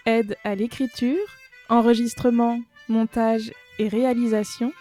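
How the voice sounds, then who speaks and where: Mellow woman announcer over soft music. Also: fairly high pitch, level tone, a little slow. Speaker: announcer reading end credits. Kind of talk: scripted narration.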